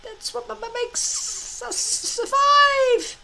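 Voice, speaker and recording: A woman's voice: extremely high pitch, vocal fry, bright, forceful tone, slight background noise, voice pushed up, full character voice. A woman voicing a small, selfish green dragon who stammers and halts as he argues with himself in a storybook reading. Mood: stubborn, self-convincing. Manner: stammering, halting. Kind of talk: storybook character voice.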